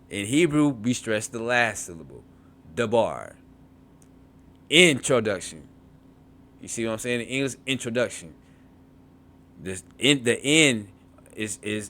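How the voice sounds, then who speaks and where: A man's voice: mid-range voice, fairly bright tone. A man teaching a beginners' Hebrew class, reading from a textbook and breaking off to explain, repeating words aloud to model their sounds. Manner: repeats words to model pronunciation, frequent restarts and asides.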